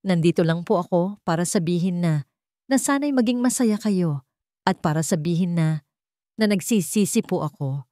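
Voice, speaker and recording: A woman's voice: lowish voice, lively intonation, normal speed, no room sound, studio quality, close to an everyday voice. A woman doing a remorseful tearful son. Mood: tearful, pleading. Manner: soft, quiet and polite. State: voice breaking with tears.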